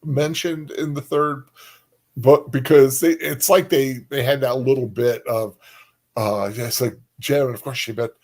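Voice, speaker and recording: A man chatting casually as a co-host, halting and often searching for his words. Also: medium pitch, steady tone. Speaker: livestream co-host. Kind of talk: casual chat.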